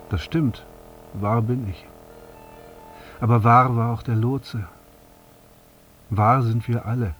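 An actor playing a reflective avenger in a radio drama monologue, measured in delivery. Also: male voice, medium pitch, darkish tone, close to an everyday voice. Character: reflective male avenger welcomed home as hero. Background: faint music.

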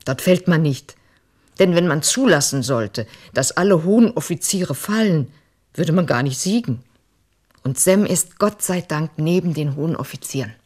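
A proud middle-aged wife and mother admonishes with emphasis. Her voice sits low but swings widely in pitch as she insists. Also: expressive, moderate pace, darkish tone. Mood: insistent, proud. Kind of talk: literary radio drama acting.

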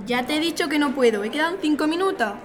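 An actress playing a sullen, defiant teenage daughter in an audio drama. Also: female voice, high-pitched, animated, quick pace.

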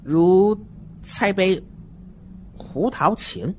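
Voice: medium pitch, lively intonation, very slow, mellow, a little hiss or hum. An adult performer voicing a naive, uneasy, wordy seven-year-old child who narrates a horror radio drama in an earnest, dramatic way.

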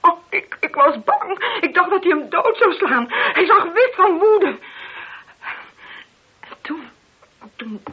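An actress playing an anguished married woman in a radio play, speaking in a husky, halting, confessional way. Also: very high-pitched, expressive, full character voice. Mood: distraught, frightened. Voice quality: very husky, breathy. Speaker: voice actor. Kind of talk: Dutch radio play acting. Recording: dull, muffled old radio recording.

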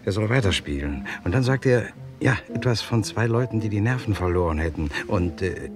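An actor playing a polite, observant writer in a vintage radio crime drama, speaking in a measured, courteous way. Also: male voice, lowish voice, lively intonation, music in the background, lightly acted. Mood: hesitant, earnest. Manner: courteous, measured, hesitant when reporting.

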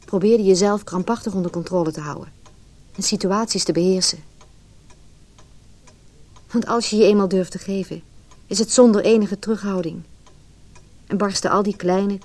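A woman's voice: medium pitch, darkish tone, close to an everyday voice. An actress playing an insecure, brooding woman, giving a reflective, confiding inner monologue in a Dutch radio play.

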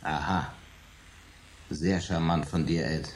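A man's voice: a bass voice, steady tone, takes it slowly, slightly rough, exaggerated voice. An actor playing a sarcastic, wisecracking man visiting friends in a radio play. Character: Dan, sarcastic joking male guest.

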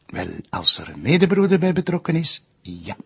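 A Flemish actor playing an erudite, patient detective in a TV drama, explaining hesitantly in a husky, gravelly voice. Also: male voice, fairly high pitch, normal speed, heavily acted. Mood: knowing. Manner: hesitant, didactic, animated. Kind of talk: naturalistic TV crime drama acting.

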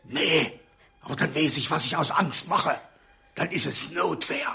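Sharp, agitated, defensive husband. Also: male voice, on the high side, unhurried, gravelly, heavily acted. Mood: defiant. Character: defensive, irritable husband barricading himself from trouble. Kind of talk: German radio drama acting.

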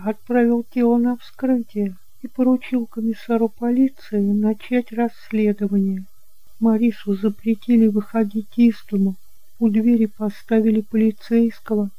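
A woman's voice: a little high, warm tone, no echo, natural voice, poor audio. A woman playing an anxious, devoted aunt pleading earnestly in an amateur audio drama.

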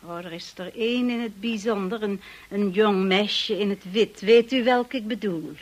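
An actress playing a formal, slyly helpful housekeeper in a radio drama, speaking in a measured, insinuating way. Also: female voice, mid-range voice, natural voice.

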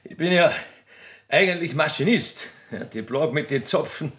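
A man's voice: a little high, fairly bright tone, close to an everyday voice. A man voicing a rambling, evasive Austrian railway machinist who halts, mutters and trails off in a comic radio play. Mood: musing, chuckling. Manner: halting, muttering, trailing off with tag questions. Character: rambling, evasive rustic railway machinist, fiancé and small-time poacher. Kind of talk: satirical radio play performed solo.

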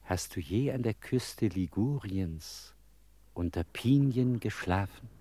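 An actor playing an easygoing, wistful vagabond in a German radio play, with an unhurried, musing delivery. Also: male voice, on the low side, animated, dark tone, husky, full character voice. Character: easygoing vagabond Mario, wistful lover of quiet.

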